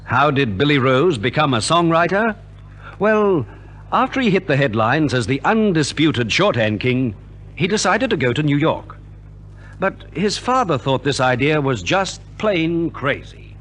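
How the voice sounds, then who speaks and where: Old-time radio announcer guy, kinda high voice, super animated polished narration. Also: measured pace. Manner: theatrical, polished announcer delivery. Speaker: narrator and host of a music program. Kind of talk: 1950s radio scripted narration. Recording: old 1950s radio recording.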